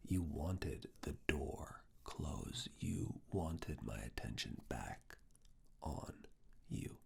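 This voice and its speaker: Male ASMR performer, professor role: extremely low, whispered, gravelly, with deliberate, teasingly stern and intimate delivery. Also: a little mellow. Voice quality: breathy whisper mixed with very low creaky murmur. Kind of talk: ASMR personal attention roleplay.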